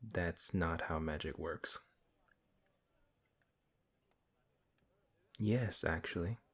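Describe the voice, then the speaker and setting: A man playing a big, good-hearted, exasperated paladin in a fantasy audio roleplay, flustered and questioning, with a husky voice. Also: low-pitched. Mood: exasperated, patient.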